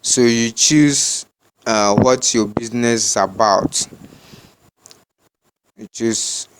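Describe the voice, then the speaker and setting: Slow-spoken Ghanaian man giving a tutorial. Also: mid-range voice, background noise. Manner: repetitive, halting instruction. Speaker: tutorial host. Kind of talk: step-by-step screen tutorial, unscripted.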